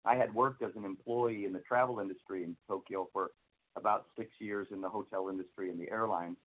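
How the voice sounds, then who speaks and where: Fifties guy from the midwest telling his story on a call, friendly and earnest, lowish voice, thin phone sound. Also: steady tone, brisk. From United States (Minnesota, Iowa). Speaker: guest on a call. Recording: tinny phone line from far off.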